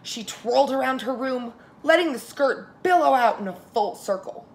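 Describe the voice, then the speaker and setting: A woman preschool teacher reading a story aloud to young children, expressive and speaking to them directly. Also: high-pitched, moderate pace, creaky.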